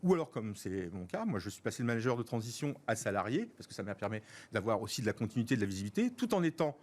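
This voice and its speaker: A middle-aged man with a French accent from France, giving structured, measured explanations as an interview guest and repeating words for emphasis. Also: lowish voice, very animated. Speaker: interview guest, senior professional.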